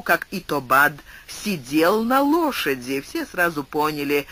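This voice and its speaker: A male audiobook narrator with a higher, bright voice. His reading is dramatic and animated, swinging up and down in pitch and loudness as he tells a classic tale. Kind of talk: expressive literary narration.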